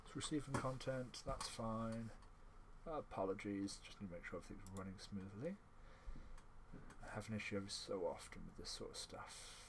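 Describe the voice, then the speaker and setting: A man's voice: lowish voice, moderate pace, noisy background. A man co-hosting a podcast in a casual, chatty interview, rambling and jokey, often repeating his words as he goes. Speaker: podcast co-host interviewing a friend.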